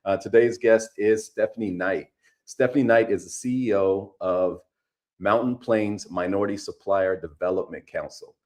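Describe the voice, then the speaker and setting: Deep-voiced, enthusiastic male interviewer. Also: moderate pace. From California. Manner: enthusiastic, energetic, repeats words. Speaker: co-host, interviewer. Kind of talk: live online interview.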